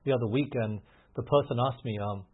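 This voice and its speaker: A man's voice: mid-range voice, a little fast, slightly rough, narrow, tinny audio. A man preaching a sermon in an earnest way that builds to emphatic peaks, often restarting his sentences. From grew up in England, Australia and the US.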